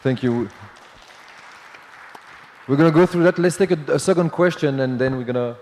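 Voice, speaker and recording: A man moderating a conference panel, talking in a hurried, loose way with many fillers. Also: medium pitch, a little mellow, some chatter behind, a little hiss or hum. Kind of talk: panel discussion. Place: large conference hall. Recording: long hall reverb.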